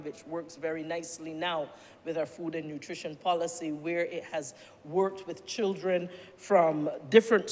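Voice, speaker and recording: A middle-aged Barbadian minister speaks earnestly in parliament, with measured phrasing and rhetorical questions. The voice carries a long reverb from the large chamber, with a faint hum of noise behind it. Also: medium pitch, a little mellow. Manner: formal, earnest, deliberate, with repeated rhetorical questions. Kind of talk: parliamentary speech.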